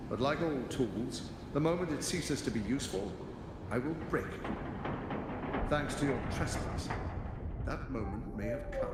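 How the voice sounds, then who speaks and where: An actor playing a smug, menacing tyrant in a video game, theatrical and condescending as he threatens. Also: mid-range voice. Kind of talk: dramatic video game acting. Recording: echoey hall with long reverb, noisy.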